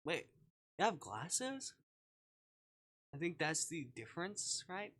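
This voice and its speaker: A man live-streaming a visual novel, reacting casually in an animated, giggly way as he comments and talks to chat. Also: a little high, slow pace.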